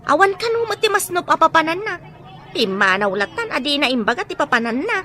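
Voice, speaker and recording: A woman acts out a gossipy household helper in an audio drama, complaining yet deferential. Her Filipino-accented voice is high and swings in pitch, over faint noise. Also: very animated, fairly quick, bright, forceful tone, some chatter behind, full character voice. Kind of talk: Ilocano audio drama acting.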